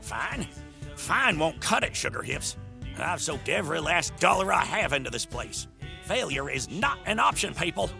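A man's voice: a little high, sing-song, moderate pace, creaky, full character voice. An actor playing an older, anxious cowboy showman who bosses people around in a folksy, theatrical way for a comic dub. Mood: stressed, demanding. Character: blustering, anxious cowboy showman business owner, older man. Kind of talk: comic dub character acting. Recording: loud music underneath.

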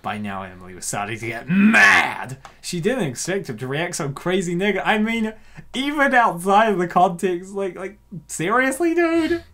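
Lively, theatrical man narrating a comic. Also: high-pitched, normal speed, gravelly. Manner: theatrical, slangy. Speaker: narrator and commentator. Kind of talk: comic narration with casual riffing.

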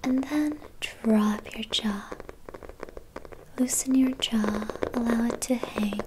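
Woman, whispering meditation guide, very slow and unhurried, faint hiss behind. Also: fairly high pitch. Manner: whispered throughout, slow and soothing.

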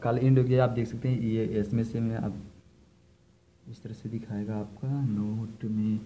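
A man's voice: on the low side, little pitch movement, measured pace, warm tone, slight background noise, rough recording. A man giving an informal hands-on tutorial as an electrician, explaining step by step and often repeating himself. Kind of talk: informal hands-on product demonstration. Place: indoors, filming equipment on the floor.

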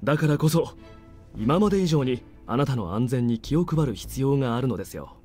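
An actor playing a polite, guarded military major in a visual novel, formal and composed. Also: male voice, medium pitch, lively intonation, rapid-fire, darkish tone, natural voice. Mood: composed, courteous. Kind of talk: Japanese visual novel voice acting. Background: game music.

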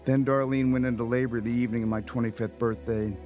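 A man's voice: medium pitch, little pitch movement, fast talker, lightly acted. An actor with an American accent playing a restless, self-centred young husband and father in an audio drama. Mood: reflective. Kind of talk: audio drama acting with first-person narration. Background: background music.

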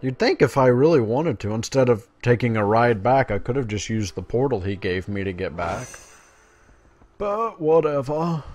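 A man's voice: mid-range voice, very animated, normal speed, a little mellow. A man hosting a gaming video, casually commentating and reading quest text aloud in a playful way. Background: game music.

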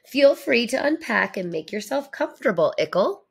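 Woman acting a tender, welcoming lady, very expressive. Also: a little high, moderate pace, vocal fry, breathy edge, heavily acted. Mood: surprised, welcoming. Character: welcoming adult woman, tender owner of baby tooth.

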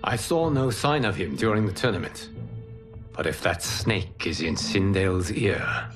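A calm, commanding protector god. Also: male voice, deep voice, over music. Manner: calm, measured, authoritative. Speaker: video game character. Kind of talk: game cutscene acting. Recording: game audio with loud score.

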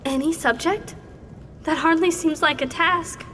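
An actress playing an eager, earnest young apprentice scholar in a fantasy audio drama, formal and deferential. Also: female voice, extremely high pitch, measured pace, fairly bright tone. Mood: excited, honored. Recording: long hall reverb, faint background noise.

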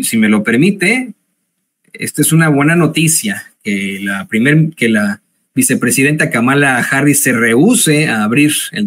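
A man's voice: mid-range voice, a bit bright, breathy edge. A man in his forties giving live news commentary from a home office, hesitant, with many fillers and restarts.

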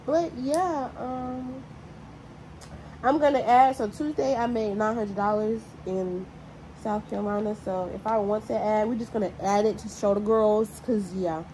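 A woman's voice: mid-range voice, steady tone, measured pace, dark tone, slight background noise, low-quality recording. A young woman, chatting casually and bluntly to her vlog audience while tallying money aloud. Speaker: vlogger. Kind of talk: casual vlog talk to camera.

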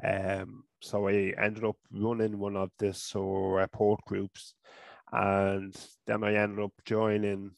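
Irish man with a stutter, gravelly. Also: on the low side, completely flat delivery, no room sound. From Ireland. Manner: stuttering, halting with restarts. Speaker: guest. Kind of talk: casual interview talk. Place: small room at home. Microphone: headset mic.

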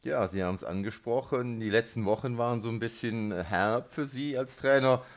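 A man's voice: medium pitch, moderate pace. Male interviewer for local sports radio, mid-range voice, conversational question-and-answer delivery.

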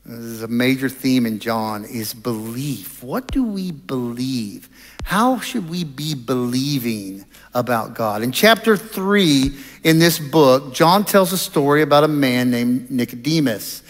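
A middle-aged man preaching an explanatory chapel sermon in a measured, deliberate way with pauses, on a headset mic in a large auditorium. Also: medium pitch, animated. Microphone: headset microphone. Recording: reverberant hall sound.

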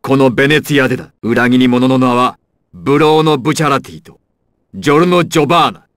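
A man's voice: mid-range voice, fairly quick, fairly bright tone, no echo, studio quality, close to an everyday voice. A man playing a proud, ruthless crime boss villain in an anime fighting game, with an imperious, menacing and theatrical delivery. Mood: grave, commanding.

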